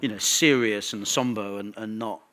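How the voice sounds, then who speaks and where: A man's voice: lowish voice, moderate pace, fairly bright tone, slight background noise. Man giving an informal conference talk. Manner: informal, conversational, with wry asides. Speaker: conference speaker.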